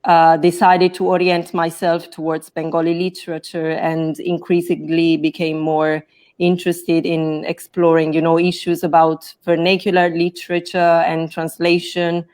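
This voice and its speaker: A woman's voice: sits low, flat delivery, moderate pace, a little mellow. A woman from Italy with an Italian accent, explaining her academic path carefully as a guest on a video call. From Naples, Italy. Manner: careful, explanatory, restarts to rephrase. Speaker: scholar, guest. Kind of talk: explaining in an interview.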